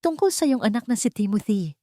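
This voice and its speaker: A woman narrator voices a gentle, professional doctor in an audio drama. The tone is calm and measured, in a dry, close recording. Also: a little high, no echo, pitched above the performer's own voice, lightly acted. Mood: gentle, probing.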